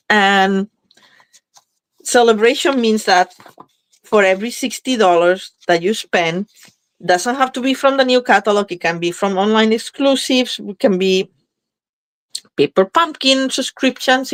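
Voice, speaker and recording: A woman's voice: mid-range voice, a little slow, long pauses, dry recording. Chatty congested woman demonstrating crafts. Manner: chatty, thinking aloud while working. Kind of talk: live crafting demo chat.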